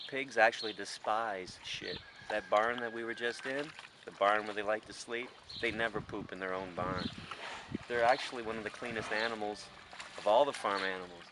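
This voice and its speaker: An American man speaks as an animal advocate in an informal, insistent style. He leans on repetition and rhetorical questions. The recording is made out in a field, with clear background noise around his voice. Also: lowish voice, steady tone, normal speed. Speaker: animal rights advocate presenting a farm sanctuary. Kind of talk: informal on-camera commentary. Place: open farm field outdoors. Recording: noisy outdoor farm location sound.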